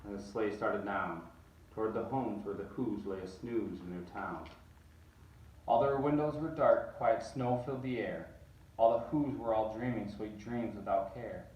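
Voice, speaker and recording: A man reading a picture book aloud, animated and rising on repeated words. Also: lowish voice, a little slow, highs cut off. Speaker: reader. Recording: roomy echo, distant mic.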